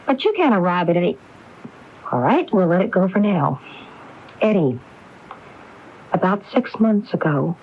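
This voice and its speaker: An actress playing a determined, anguished widow in a radio mystery drama, speaking in an insistent, probing way. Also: female voice, on the low side, expressive, a little slow, pauses often. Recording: dull lo-fi AM radio broadcast recording.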